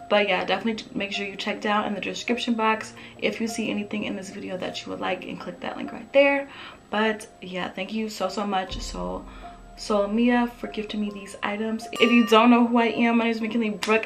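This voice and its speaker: A young woman with a slightly raspy voice, chatting upbeat and casual through a clothing try-on haul in her bedroom, talking to the camera up close. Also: medium pitch. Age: young adult. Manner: chatty, upbeat, lots of fillers. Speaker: fashion vlogger. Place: bedroom with photo-collage wall, indoors.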